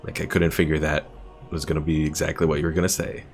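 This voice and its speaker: A man's voice: a bass voice, completely flat delivery, quick pace, faint music behind. A man streaming a strategy game, chatting casually and self-deprecatingly about his battles and answering his chat. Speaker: gaming streamer. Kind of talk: casual gameplay commentary.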